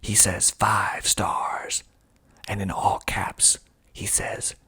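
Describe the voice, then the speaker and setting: A man speaking in a breathy, husky ASMR whisper, reading aloud and adding deliberate, dry asides. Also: lowish voice, monotone, sharp tone. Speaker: filmmaker hosting his own video.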